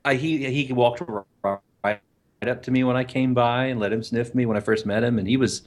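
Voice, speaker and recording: Deep-voiced, rambling male podcast co-host. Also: steady tone, moderate pace. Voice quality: deeper voice. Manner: rambling, with frequent restarts and easy laughter. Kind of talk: casual chat on a live podcast stream.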